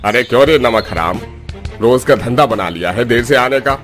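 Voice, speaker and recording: An actor playing a greedy, bullying orchard owner in a cartoon story. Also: male voice, mid-range voice, lively intonation, moderate pace, fairly bright tone, soft background music, lightly acted. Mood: scornful. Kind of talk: cartoon villain dialogue.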